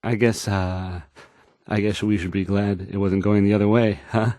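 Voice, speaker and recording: A man's voice: fairly low pitch, little pitch movement, normal speed, warm tone, no echo, studio quality, lightly acted. An actor playing an awkward young male radio newscaster in a video game, nervous and hesitant, stammering and trailing off. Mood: uncertain, flustered. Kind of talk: in-game radio news acting.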